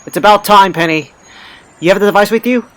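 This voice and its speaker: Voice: medium pitch, a little fast, close to an everyday voice, poor audio. An actor playing a resolute commanding police officer, speaking bluntly and decisively in an amateur audio drama. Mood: businesslike.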